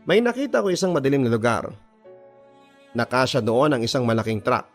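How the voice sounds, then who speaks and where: A man narrates a confessional radio drama in the first person. His delivery is dramatized storytelling at a medium pitch, with music playing underneath. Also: big pitch swings, a little fast.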